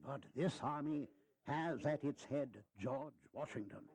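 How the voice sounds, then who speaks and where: An actor playing a shrewd, philosophical old statesman in a historical audio drama, speaking in deliberate, theatrical oratory. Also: medium pitch, darkish tone. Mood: philosophical, firm.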